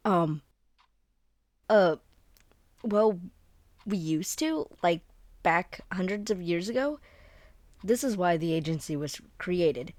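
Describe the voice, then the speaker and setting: A woman plays a friendly young female werewolf, second in her pack, in an audio drama. Her voice is on the low side and unhurried, recorded dry and close to the room's silence. She explains things informally, rambling and getting a little flustered as she goes. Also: expressive, a little mellow, no echo. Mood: earnest, explaining. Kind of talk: scripted one-sided roleplay audio drama, conversational.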